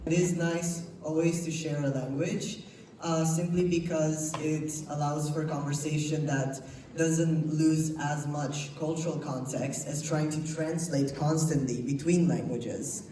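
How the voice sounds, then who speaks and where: A student speaking in a measured way. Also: male voice, a little high, steady tone, warm tone, a little hiss or hum. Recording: distant, echoey room.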